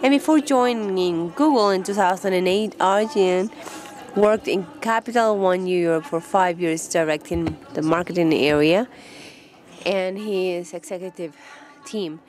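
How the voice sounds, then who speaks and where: A woman presenting at an event, introducing the speaker in a halting way with fillers and restarts. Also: lowish voice, a little mellow.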